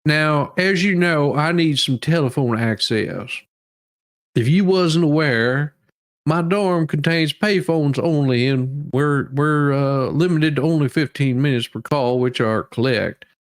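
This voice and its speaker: A man giving a mock-dramatic reading as a demanding woman inmate pleading her own case, with halting, emphatic delivery. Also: a little high.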